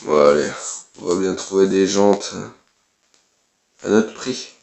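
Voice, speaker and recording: A man's voice: low-pitched, animated, takes it slowly, creaky, darkish tone. A man, who has a cold, giving casual, blunt let's play commentary as a gaming YouTuber and swearing offhandedly.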